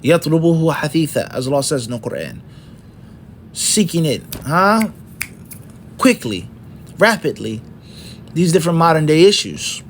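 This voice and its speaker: A man's voice: fairly high pitch, very animated, a little fast, pauses often, a little hiss or hum. A middle-aged man teaching an Islamic lesson, working through points one by one and asking rhetorical questions. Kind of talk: lecture.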